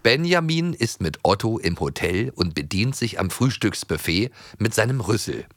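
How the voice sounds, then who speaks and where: Playful young man with a lively voice. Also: on the low side, moderate pace, clean studio sound. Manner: playful, chimes in with echoes and short replies. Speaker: podcast co-host. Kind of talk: casual chat.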